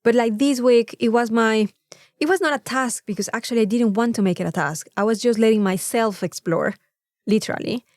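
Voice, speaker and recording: A woman chatting casually as a podcast co-host, animated and free with swearing. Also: fairly high pitch, brisk, dry recording. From Mexico. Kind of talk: casual chat.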